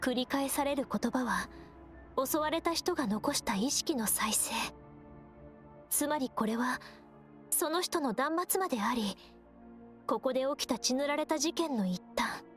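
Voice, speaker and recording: A gentle, perceptive young scout from an anime game. Her voice is high and she speaks politely, in measured and earnest phrases. Soft music runs behind her. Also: animated. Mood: somber. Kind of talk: Japanese anime game voice acting.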